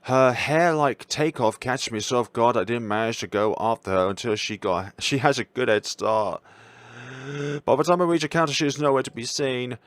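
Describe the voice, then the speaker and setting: A man's voice: medium pitch, moderate pace, no echo. A man hosting a visual novel playthrough, reading the narration expressively and adding reflective commentary. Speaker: gaming video host.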